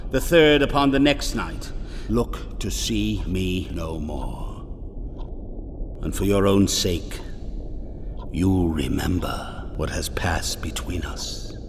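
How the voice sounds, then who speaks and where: An actor playing the doomed ghost of a writer, slow and solemn, swinging from loud outbursts to hushed whispers, in a radio drama. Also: male voice, low-pitched, expressive, exaggerated voice. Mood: grave. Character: doomed ghost of a male writer, mournful and grave. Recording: long hall reverb, faint noise.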